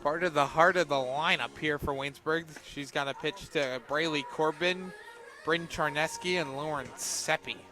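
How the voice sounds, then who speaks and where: A man doing live radio play-by-play of a softball game as the announcer. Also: on the high side, steady tone, moderate pace, soft background music. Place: outdoors at a ballfield, on a platform by tables. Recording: outdoor ballfield noise behind the voice. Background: loud outdoor ambience.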